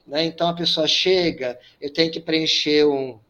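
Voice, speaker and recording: A priest speaking as a guest on a panel, explaining migration rules in a measured way with frequent fillers. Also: male voice, a little high, fairly even intonation, fairly bright tone, highs cut off. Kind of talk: panel discussion.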